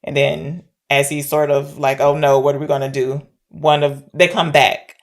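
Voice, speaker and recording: A woman's voice: sits low, little pitch movement, normal speed. A woman podcast co-host chatting casually and candidly as she recaps a film, often posing questions. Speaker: podcast co-host discussing films.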